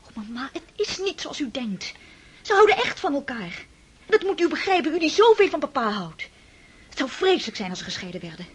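A woman's voice: sits high, big pitch swings, fairly quick. An actress playing an impassioned young daughter who defends her brother. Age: young adult. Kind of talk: radio drama acting.

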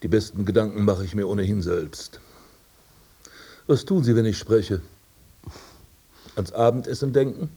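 Haughty prince, mellow voice. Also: male voice, lowish voice, moderate pace, pauses often, breathy edge. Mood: conceited. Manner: pompous, disdainful, formal. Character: haughty, vain aristocratic prince recounting his dream. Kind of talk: German radio play acting.

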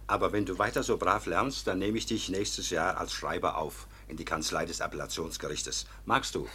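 An actor playing a kindly older court official in a German radio drama, husky, patient and gently admonishing in a measured paternal way. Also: male voice, sits low, steady tone, a little hiss or hum. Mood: encouraging.

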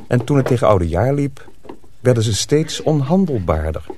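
A man's voice: medium pitch, sing-song, moderate pace, warm tone, a little hiss or hum, close to an everyday voice. A man narrating a wintry folk tale as scripted radio drama storytelling.

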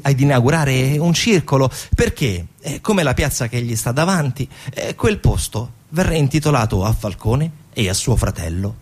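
A man delivering dramatic theatrical narration as a stage storyteller, deliberate, with emphatic pauses. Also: medium pitch, lively intonation, breathy edge.

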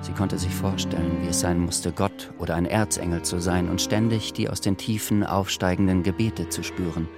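A man narrating a literary radio drama in a measured voice. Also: low voice, little pitch movement, loud music behind the voice.

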